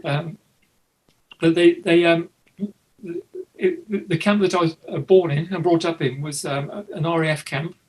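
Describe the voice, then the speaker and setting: A man's voice: fairly high pitch, steady tone, normal speed, darkish tone, rough recording. An older man born in England whose first language was Polish, talking in an interview with many ums and restarts. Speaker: interviewee, photographer. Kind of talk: reflective interview talk.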